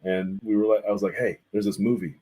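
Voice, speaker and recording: A man in his thirties with a low, slightly husky voice. He chats as a guest in a casual interview, spinning enthusiastic, meandering stories. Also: fairly even intonation, quick pace, mellow. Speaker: guest artist and art teacher.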